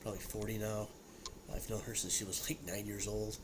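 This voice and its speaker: A man in his sixties, audibly congested and sniffing, rambles through a relaxed home whiskey tasting. His voice sits on the low side, and he trips over repeated words and fillers. A clear hiss runs underneath the recording. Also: a little fast. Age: 60s. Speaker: whiskey review vlogger. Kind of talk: casual solo tasting chat.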